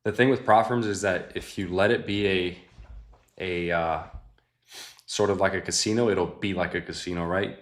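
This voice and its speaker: A young man hosting a live trading stream, chatting casually with viewers and thinking out loud, often dropping to a quiet mutter. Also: low voice, steady tone, moderate pace. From Mexican family. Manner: laid-back, thinks aloud, often mutters quietly to himself. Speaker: livestream host trading futures.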